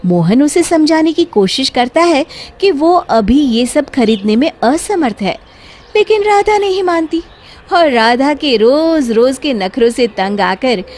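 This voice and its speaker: High-voiced woman storyteller. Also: lively intonation, measured pace, a little mellow. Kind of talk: scripted moral-story narration for children.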